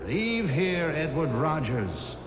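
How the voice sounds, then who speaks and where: An actor playing a menacing, mysterious graveyard stranger, ominous and declaiming, in 1970s radio horror style. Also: male voice, a little high, very animated, slow. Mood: ominous warning. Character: eerie, menacing graveyard stranger, man or ghost. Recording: muffled old radio recording with noisy ambience.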